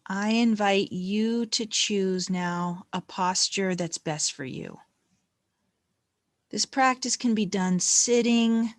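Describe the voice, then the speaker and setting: A woman leading a guided meditation in a gentle, calm, unhurried manner with long pauses between phrases. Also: mid-range voice, no room sound, clean studio sound.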